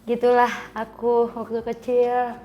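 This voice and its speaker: A woman's voice: fairly high pitch, monotone, unhurried, dull audio, soft background music. Giggly young woman with a raised voice. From Java. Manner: giggly, chatty, laughs often. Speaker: guest singer. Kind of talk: casual podcast chat.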